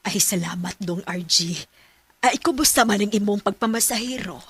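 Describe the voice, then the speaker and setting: Gentle mom, husky and breathy. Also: female voice, mid-range voice, moderate pace. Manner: gentle, motherly. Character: caring working-class mother. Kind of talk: radio drama acting.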